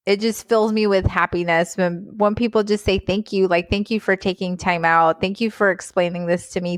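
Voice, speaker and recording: A woman from Guyana, a physician guest, telling her story in a casual interview, animated and laughing often. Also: fairly low pitch, no echo, clean studio sound. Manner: animated, laughs often, repeats words for emphasis.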